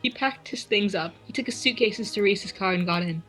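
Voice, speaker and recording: A woman's voice: medium pitch, normal speed, a bit bright. A woman reading a story aloud with friends, with small restarts and the odd laugh. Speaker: reader in a group fan fiction reading. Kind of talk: casual dramatic reading. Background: faint classical music.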